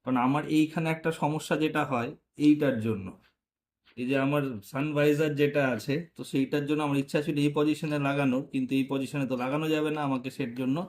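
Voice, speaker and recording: A man narrating a hands-on motorcycle vlog tutorial casually, talking viewers through each step in an informal way. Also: a little high. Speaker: motorcycle vlogger.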